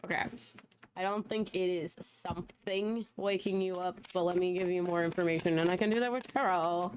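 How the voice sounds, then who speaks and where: A young woman with a hoarse voice that is going, talking on the low side of her range in an easy, chatty way as she hosts a live tarot stream. She laughs often. A faint hiss of noise sits under her voice. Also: normal speed. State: losing her voice. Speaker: tarot reader and livestream host. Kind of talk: casual livestream chat.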